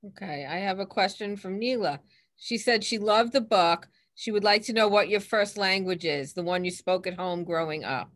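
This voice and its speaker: A woman's voice: mid-range voice, moderate pace. A woman hosting a library author event, plainly reading audience questions aloud.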